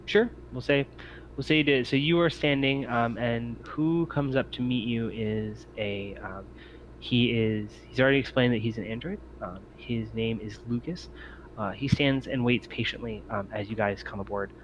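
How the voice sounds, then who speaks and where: Halting male game master, dull audio. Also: medium pitch. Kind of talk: improvised tabletop game narration. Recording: dull audio with faint noise.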